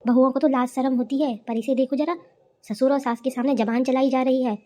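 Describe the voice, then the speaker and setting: Nagging village mother-in-law, voiced by a woman, high-pitched, reproachful and disdainful, cartoon comedy. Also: fairly even intonation, a little fast, dark tone, close to an everyday voice. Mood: scolding. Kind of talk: Hindi cartoon family comedy dubbing.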